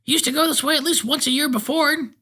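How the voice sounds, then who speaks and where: A man's voice: very high-pitched, fast, sharp tone, pitched above the performer's own voice, full character voice. A man reading a story aloud, voicing a frail, cranky eighty-year-old man with a thin, piping voice. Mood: grumbling.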